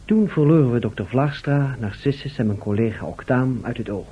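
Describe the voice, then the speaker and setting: A man playing a time traveller who narrates his adventure in a radio play, measured and suspenseful. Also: medium pitch, slightly rough, natural voice. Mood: grave, suspenseful. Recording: muffled, phone-like old radio sound.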